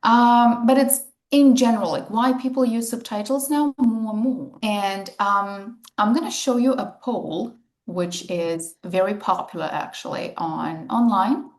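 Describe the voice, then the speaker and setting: A woman runs a casual online English speaking club. She talks in loose, chatty runs full of fillers. Her voice is mid-pitched and sounds like it bounces around a bare room over the call. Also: unhurried, hardly any pauses, roomy sound. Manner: chatty, many fillers like "you know" and "okay". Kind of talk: casual online group lesson. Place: home in Valencia. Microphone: video call.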